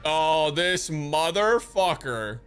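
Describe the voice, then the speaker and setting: A man's voice: a little high, lively intonation, very slow, fairly bright tone. A young man reacting to a game live, chatting casually with his audience in profane, bantering commentary. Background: game music.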